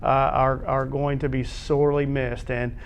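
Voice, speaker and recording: A man's voice: mid-range voice, level tone, measured pace, clean tone. A man with an American accent hosting a casual, conversational interview. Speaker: interview host, athletic administrator.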